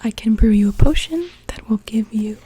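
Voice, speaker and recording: A young woman playing a kindly mermaid witch in a whispered ASMR roleplay, gently attending to a customer as she brews potions. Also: on the high side, normal speed, breathy edge. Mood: helpful, reassuring. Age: young adult.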